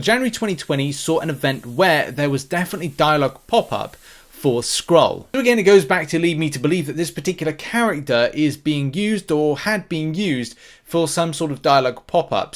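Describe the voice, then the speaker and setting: Man hosting a gaming video. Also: on the high side. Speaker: video host and gaming content creator. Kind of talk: casual gameplay commentary to viewers.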